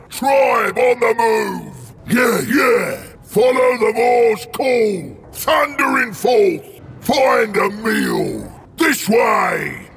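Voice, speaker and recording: An actor voicing a huge, brutish, ravenous male ogre warrior in a video game, barking short blunt war cries in a gruff, gravelly voice. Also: extremely high pitch, fairly bright tone, slight background noise, heavily acted. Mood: eager, rowdy. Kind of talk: video game unit battle barks.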